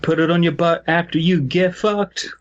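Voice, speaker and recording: A man's voice: fairly high pitch, steady tone, moderate pace. A man hosting a podcast, joking casually with a group in a dull lo-fi recording. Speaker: podcast host. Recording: dull lo-fi audio, faint noise.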